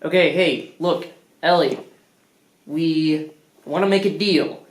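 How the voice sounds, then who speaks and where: A man's voice: fairly high pitch, takes it slowly. A man acting in a comedy skit as a self-centred, whiny, melodramatic character, exasperated and prone to outbursts, in a roomy space. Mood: mock-earnest scheming. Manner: exasperated, mock-earnest, bursts into shouting. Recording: roomy, echoing indoor sound.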